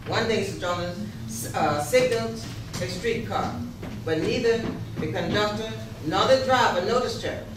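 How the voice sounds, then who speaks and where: A woman reading historical narration for a readers theater, halting and stumbling over words now and then. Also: medium pitch. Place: echoey room, live rehearsal. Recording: echoey, distant, noisy rehearsal room.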